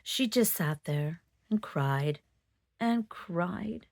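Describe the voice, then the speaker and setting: A woman storyteller reading a children's picture book aloud in an expressive narrating style. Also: on the low side, drawn-out words, gravelly, no room sound, studio quality.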